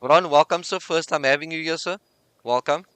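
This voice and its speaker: A man hosting a sports podcast, his voice very smooth and resonant in a dry, close-miked sound. He talks in a relaxed, chatty way and thinks aloud as he goes. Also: a little high, moderate pace, no room sound. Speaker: podcast host. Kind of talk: casual sports podcast chat.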